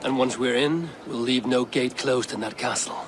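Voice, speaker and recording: A man voicing a resolute Viking warrior leader in a game cutscene, speaking in a firm, measured, commanding way. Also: medium pitch, steady tone, background noise, lightly acted. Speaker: game protagonist. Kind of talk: video game cutscene acting. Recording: game audio under a music score.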